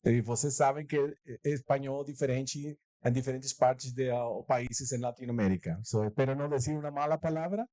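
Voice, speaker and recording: A man's voice: medium pitch, moderate pace, few pauses, warm tone, no room sound. A man from Mexico whose first language is Spanish, giving a live talk at a corporate event with a Mexican Spanish accent. He jokes about himself, laughs often and slips Spanish words into his Portuguese. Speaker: guest speaker.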